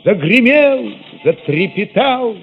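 Husky man narrating solemnly in reverberant space. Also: very high voice, sing-song, slow, muffled recording, faint music behind. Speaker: narrator and reader of an audio drama. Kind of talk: dramatic first-person literary narration, radio play.

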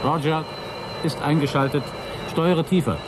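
An actor playing a cocky, wisecracking astronaut pilot in a German radio play, with a breezy, excitable manner. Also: male voice, unhurried, natural voice. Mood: concentrated. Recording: noisy rumbling vehicle ambience.

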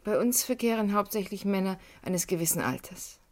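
A woman's voice: medium pitch, lively intonation, normal speed, lightly acted. A woman playing a guarded young Parisian in a 1980s radio crime drama, answering with reserve and a slight edge. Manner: reserved, slightly pointed replies.

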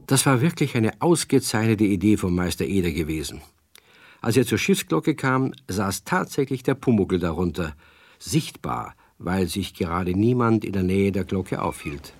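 A man narrating a children's radio play as a calm storyteller, reading scripted narration. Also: deep voice, normal speed, husky, exaggerated voice. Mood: storytelling.

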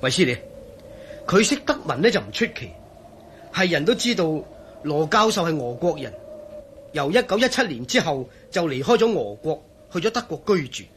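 An actor with a Hong Kong Cantonese accent playing an excitable, sceptical friend in a radio drama, animated and argumentative. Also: male voice, on the high side, moderate pace, a little hiss or hum.

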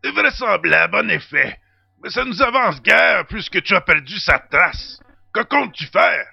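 An actor playing a quirky, theatrical male sidekick in a science-fiction audio drama, with a raspy, gravelly voice. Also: high voice, animated, unhurried, bright, forceful tone, no echo, exaggerated voice. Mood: doubtful. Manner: theatrical, keeps addressing his listener as "boy". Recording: dull, slightly lo-fi sound.

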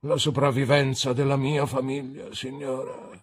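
A man voicing a pompous, grandiloquent gentleman down on his luck, speaking in flowery, theatrical phrases. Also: medium pitch, completely flat delivery, normal speed, pitched above the performer's own voice, close to an everyday voice. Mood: despairing.

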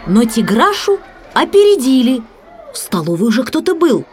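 A woman narrating a children's story as an expressive audiobook reader, with music behind her. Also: high voice, normal speed.

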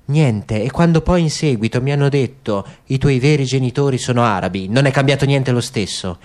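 A man's voice: mid-range voice, fairly quick, a little mellow, lightly acted. A man playing a defiant young soldier in a radio drama, speaking with clipped, peremptory firmness. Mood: controlled, resolute. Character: tall young male soldier, stiff, defiant, sharp. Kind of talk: literary radio drama acting.

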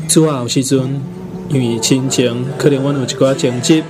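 Taiwanese pastor praying over loud music. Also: male voice, medium pitch, steady tone, normal speed. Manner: solemn, pleading. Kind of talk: spoken prayer.

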